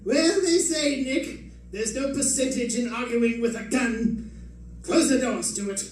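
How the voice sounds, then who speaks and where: Suave gangland villain, played by a man, high-pitched, unhurried, mock-courteous, echoey live stage. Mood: smugly menacing. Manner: silky, taunting, mock-courteous, chuckling. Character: suave, mocking male escaped-convict villain with a gang. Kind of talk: old-time radio crime drama acting. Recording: echoey live stage, distant mic, faint noise.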